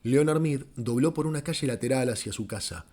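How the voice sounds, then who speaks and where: A male storyteller reads literary fiction for an audiobook. His voice sits at a middle pitch, and the delivery is scripted and dramatic. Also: brisk, dark tone. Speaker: audiobook narrator.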